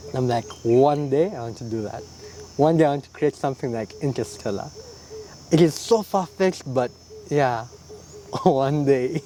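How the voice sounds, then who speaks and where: A young man from Uganda, answering interview questions in a relaxed, reflective way, often tagging his lines with "yeah?" and "you know?". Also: medium pitch, a little hiss or hum. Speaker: interview guest, illustrator.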